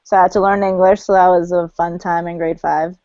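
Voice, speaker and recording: A woman's voice: fairly low pitch, flat delivery, a little fast, warm tone, dry recording. The young Paraguayan-born woman who volunteers next at a workplace course, telling her background casually in a close, dull-sounding recording. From Paraguay, moved to North America at ten. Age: 20s. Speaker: course participant introducing herself. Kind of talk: casual personal introduction. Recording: dull lo-fi audio.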